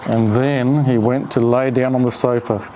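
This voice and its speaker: A man's voice: medium pitch, fairly even intonation, moderate pace, warm tone, a little hiss or hum, rough recording. A man reading a children's story aloud expressively, with playful, chatty asides to a pet. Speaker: storyteller reading a picture book to a dog.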